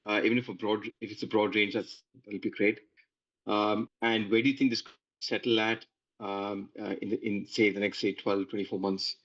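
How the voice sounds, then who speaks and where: A male financial analyst asking questions on an earnings call in a polite, halting way. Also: lowish voice, level tone, fairly bright tone. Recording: muffled conference call line.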